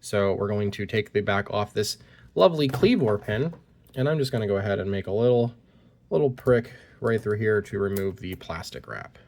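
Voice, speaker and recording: Man, slightly lower voice, stuffy nose, casual unboxing chatter, phone mic. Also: animated, normal speed, darkish tone. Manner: chatty, reads card text aloud. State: slightly congested from a cold. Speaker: card-opening YouTuber. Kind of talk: casual card-opening commentary. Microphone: phone recording at point-of-view angle.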